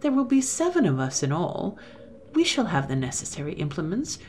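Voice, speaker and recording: A woman's voice: lowish voice, big pitch swings, brisk, a little hiss or hum. A woman playing a coolly sneering male robber. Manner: soft, sneering, coolly contemptuous. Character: cold, sneering male robber plotting murder.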